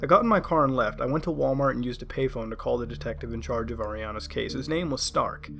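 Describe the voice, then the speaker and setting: A man tells a creepypasta in the first person over music. His mid-pitched voice is conversational and dramatic, breaking into halting asides as he goes. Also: vocal fry, a bit bright. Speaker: creepypasta narrator.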